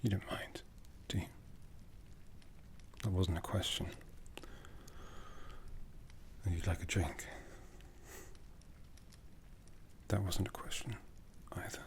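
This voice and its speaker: A man playing an ancient, jaded, aristocratic vampire in a breathy, half-whispered ASMR roleplay, haughty and seductive with long deliberate pauses. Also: sits low, steady tone, close to an everyday voice. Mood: silky, commanding. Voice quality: breathy, often dropping into whisper.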